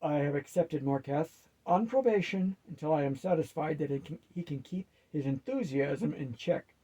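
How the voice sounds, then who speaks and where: A man voicing the commanding boss of the undead, with loud, authoritative, deliberate pronouncements. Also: fairly high pitch, breathy edge, low-quality recording. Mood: stern, authoritative.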